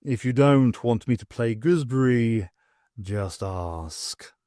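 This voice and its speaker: A man's voice: mid-range voice, animated, slow pace, mellow, no echo, studio quality, voice pushed down. A man voicing a suave, sardonic male cat who talks in a purring, languid, teasing way.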